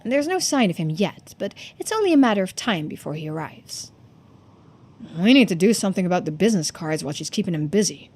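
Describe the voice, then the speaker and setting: A woman's voice: lowish voice, sing-song, fairly quick, a little mellow. A woman voicing an earnest, anxious young man, the protagonist of a video game, in a conversational, hesitant way. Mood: determined. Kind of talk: video game dialogue read-aloud.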